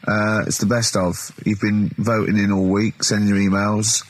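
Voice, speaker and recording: A man's voice: on the low side, flat delivery, moderate pace. A man working as a radio announcer, delivering a station link. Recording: noisy broadcast with clicks.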